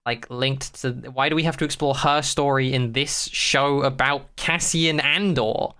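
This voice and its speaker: Bright, clear-voiced podcast co-host of unclear sex. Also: mid-range voice, steady tone, a little slow. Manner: sweary, blunt, opinionated. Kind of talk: casual chat.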